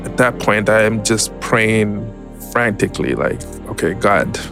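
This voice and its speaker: Man, lowish creaky voice, reflective, halting conversational testimony, soft music behind. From Zimbabwe. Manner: reflective, hesitant, pausing often. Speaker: interview guest. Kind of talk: personal testimony, conversational storytelling.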